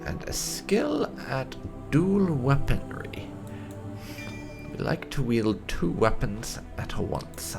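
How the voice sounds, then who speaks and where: A deep-voiced man hosts a game playthrough in a chatty, explanatory way. His pitch swings widely and a gravelly creak runs through his voice. Music plays clearly behind him. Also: sing-song, slow. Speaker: gaming video host. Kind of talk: casual game playthrough commentary.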